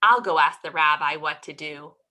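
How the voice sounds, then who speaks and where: A woman's voice: sits low, moderate pace, bright, forceful tone, pitched below the performer's own voice. A woman storyteller voicing an earnest, literal-minded husband, eager and simple, in a folk tale.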